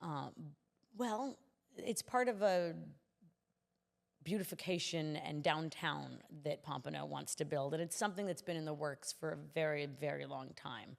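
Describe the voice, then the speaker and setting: Low-pitched, creaky middle-aged American woman. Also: moderate pace, in a large hall. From United States. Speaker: interview guest, city commissioner. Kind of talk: conversational podcast interview.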